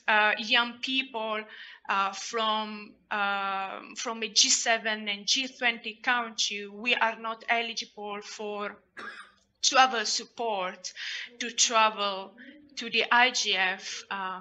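A woman's voice: medium pitch, steady tone, slow pace, sharp tone, poor audio. A young Italian woman whose first language is Italian presents a youth program on a video call, speaking over a headset, hesitant and full of fillers. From Italy. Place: small room indoors.

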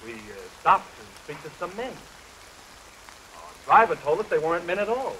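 Bright-voiced earnest young bridegroom. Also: male voice, a little high, big pitch swings, moderate pace, poor audio. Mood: uneasy. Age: young adult. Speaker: film actor. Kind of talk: early 1930s film acting. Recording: hissy 1932 film soundtrack, rain behind.

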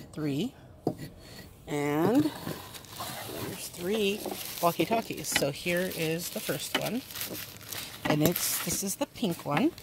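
A woman giving a casual unboxing review outdoors, talking into a handheld camera and piecing her explanations together as she goes. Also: on the low side. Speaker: toy review channel host, mother. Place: outdoors on a wooden step beside a house. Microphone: handheld phone or camera close by. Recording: noisy handheld outdoor recording.

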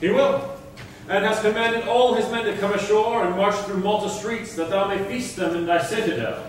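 An actor playing a courteous messenger in a staged verse drama, declaiming formally. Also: male voice, high voice, steady tone, normal speed, close to an everyday voice. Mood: formal report. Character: courteous male messenger delivering a lord's invitation. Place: large indoor theatre stage. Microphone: far camera. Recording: distant echoey theatre stage, faint noise.

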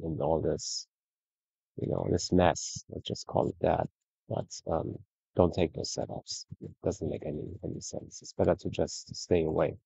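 A man giving a relaxed, conversational screen-share review of trading charts, often ending points with "right?". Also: deep voice, creaky, husky, dry recording, muffled recording. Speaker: trading educator.

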